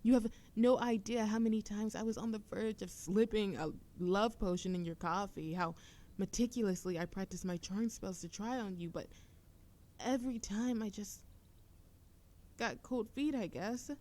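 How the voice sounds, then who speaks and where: A woman's voice: mid-range voice, moderate pace, warm tone, natural voice. A woman playing a playful young witch smitten with a childhood friend, teasing and affectionate in an intimate romantic audio roleplay. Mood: earnest, tender. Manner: teasing, affectionate, breathy laughs and whispers.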